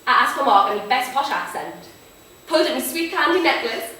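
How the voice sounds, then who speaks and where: A woman playing an excitable, naive young girl with a North East English accent, telling her story as a vivid dramatic monologue. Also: sits high, fairly quick, heavily acted. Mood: nervous excitement. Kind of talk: dramatic first-person monologue performance. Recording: echoey, distant room recording with noise.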